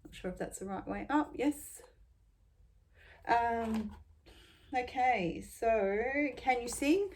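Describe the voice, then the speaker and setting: An Australian woman in her forties answering tag questions in a casual, rambling way, with many ums and pauses. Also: a little high, darkish tone, slight background noise. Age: 40s. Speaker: beauty vlogger.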